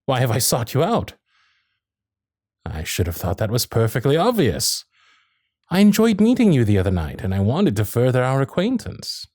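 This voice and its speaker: Victorian gentleman suitor, male actor, genteel and flirtatious period diction, lively intonation, intimate dry sound for romantic audio drama. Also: medium pitch, normal speed, no echo. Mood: charming, eager. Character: courtly, infatuated Victorian gentleman suitor, protective and witty. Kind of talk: ASMR romantic roleplay, period piece acting. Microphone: close studio microphone.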